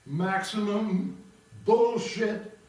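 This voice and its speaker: Opera role: man as defiant old writer ghost, theatrical, high-pitched, heard in a very echoey room. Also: steady tone, darkish tone. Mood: rapturous. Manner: theatrical, drawn-out delivery. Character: defiant, profane old male ghost of a drug-addled writer. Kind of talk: sung and declaimed opera. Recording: echoey room, distant mic, music.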